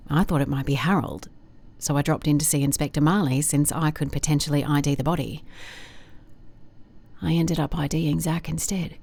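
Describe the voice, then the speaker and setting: A woman's voice: low voice, gravelly, darkish tone. A woman playing a weary private investigator in an audio drama, dictating case notes in a dry, guarded, confiding way. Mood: subdued, grieving.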